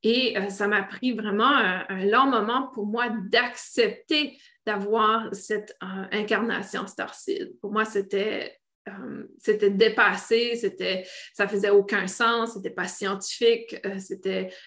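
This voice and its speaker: Casting: woman, French Canadian accent, medium-pitched voice, spiritual teacher on a live stream, hesitant and repetitive phrasing. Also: steady tone, a bit bright. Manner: halting, searching for words, repeating phrases. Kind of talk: unscripted live talk to online viewers.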